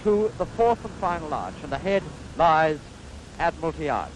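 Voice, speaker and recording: High-voiced British newsreel man, formal and stately. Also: big pitch swings. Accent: British Received Pronunciation. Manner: formal, stately, descriptive delivery in measured phrases. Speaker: newsreel commentator. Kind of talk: 1950s British newsreel commentary. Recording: noisy vintage newsreel soundtrack.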